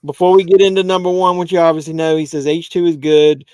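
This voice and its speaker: A man in his fifties hosting a casual live movie chat, relaxed, reading viewer comments aloud. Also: fairly high pitch, quick pace, clean tone, dry recording. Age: 50s. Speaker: YouTube movie channel host.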